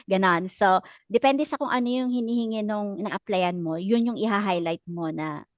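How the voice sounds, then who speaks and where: A woman's voice: mid-range voice, moderate pace, no room sound. Filipino woman coaching an online class. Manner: encouraging, mixes English and Tagalog. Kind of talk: casual lecture coaching students.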